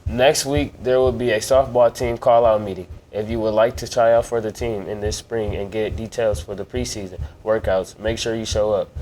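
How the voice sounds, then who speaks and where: A man reads school announcements in a plain, somewhat low voice, stumbling once. Faint noise sits behind him. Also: fairly even intonation, normal speed, hardly any pauses, a little mellow, rough recording.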